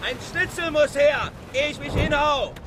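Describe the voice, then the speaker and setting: High, bellowing whaling-ship mate. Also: male voice, moderate pace. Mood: hearty, demanding. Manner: shouting commands across a deck. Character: boisterous whaling ship's second mate, bellowing orders. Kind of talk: radio drama acting. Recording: noisy on-location sound of boat and water.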